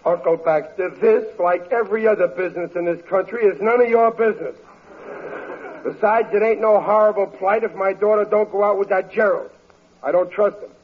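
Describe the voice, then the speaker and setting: High, husky, blustery family man. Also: normal speed. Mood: indignant. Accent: American. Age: middle-aged. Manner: folksy, ungrammatical, exasperated wisecracking. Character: grumbling, blustery, good-hearted working-class family father. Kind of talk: 1940s radio comedy acting. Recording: muffled vintage radio recording.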